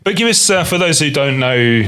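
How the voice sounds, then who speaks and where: A man's voice: fairly high pitch, expressive, a little fast, pushed and bright. A man with a Norwegian accent hosting a podcast, asking loose, rambling questions with many restarts. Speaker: podcast host. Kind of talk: casual podcast interview.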